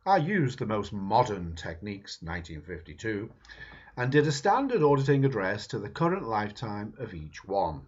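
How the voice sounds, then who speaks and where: A man reading a book foreword aloud in a slow, emphatic, dramatic way. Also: lowish voice, big pitch swings, breathy edge. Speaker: reader. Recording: dull lo-fi recording.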